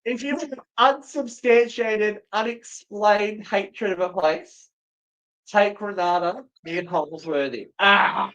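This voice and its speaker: A woman's voice: mid-range voice, takes it slowly, a bit bright, lo-fi sound. A woman co-hosting a casual livestream, joking around in a theatrical, sweary way. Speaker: co-host of a live show.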